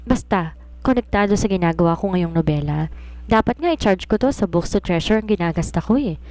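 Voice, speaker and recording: A woman storyteller voicing a breezy, secretive young female novelist who poses as a maid, with a casual, brisk, evasive manner. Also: on the low side, animated, darkish tone, no room sound, natural voice. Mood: evasive, hurried.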